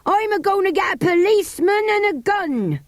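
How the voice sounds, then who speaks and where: Lady as a countrified manservant in a storybook, firm and wary, pitch jumps all over, dry sound. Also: expressive, moderate pace, no echo. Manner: firm, countrified turns of phrase. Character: wary rustic English manservant, dutiful adult man.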